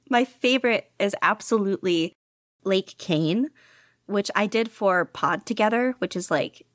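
A woman's voice: mid-range voice, no echo, clean studio sound. A woman guest on a casual podcast interview, talking eagerly and laughing often. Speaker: podcast guest, audiobook reader.

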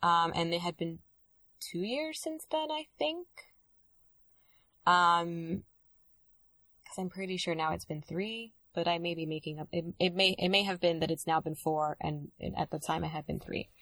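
Young woman, thoughtful and halting, slightly low voice, dry close mic. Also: fairly bright tone, no room sound. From Dominican Republic. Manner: reflective, hesitant, many fillers and restarts. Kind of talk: casual conversation.

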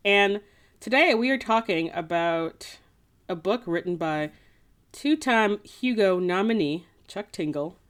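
Woman, podcast co-host, casual slangy talk, playful, lively intonation, mid-pitched voice. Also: measured pace. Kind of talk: casual chat and lively plot recap of a book.

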